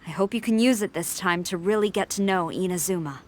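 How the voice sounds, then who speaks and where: A woman's voice: fairly low pitch, fairly quick, darkish tone, faint music behind, lightly acted. A woman voicing a stern, formal female military general in a game, speaking in a clipped, commanding way. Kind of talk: video game cutscene dialogue.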